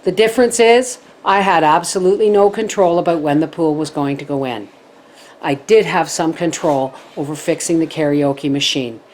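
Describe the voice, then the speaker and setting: A middle-aged woman chatting to her viewers as a homestead vlogger, telling stories in a lively way. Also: deep voice, moderate pace, a little hiss or hum. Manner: chatty, animated storytelling. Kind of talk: casual chat to viewers.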